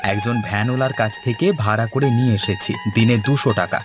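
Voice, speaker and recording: A man voicing an earnest, energetic young village son and street hawker in a cartoon. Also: mid-range voice, brisk, close to an everyday voice. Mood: earnest, determined. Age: young adult. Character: eager, devoted young village son selling fried eggplant. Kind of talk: Bengali cartoon voice acting. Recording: music under the voice.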